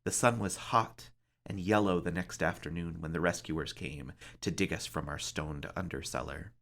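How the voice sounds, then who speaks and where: A man reading a somber story aloud as a librarian, with theatrical, deliberate phrasing. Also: sits low, vocal fry, fairly bright tone. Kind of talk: dramatic storybook narration.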